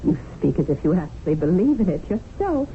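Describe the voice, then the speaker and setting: A woman's voice: lowish voice, sing-song, a little fast. An actress playing a naive, headstrong, talkative English lady in a prim, argumentative manner in a radio drama. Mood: curious, skeptical. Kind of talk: 1970s radio drama acting. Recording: narrow-band noisy old radio broadcast.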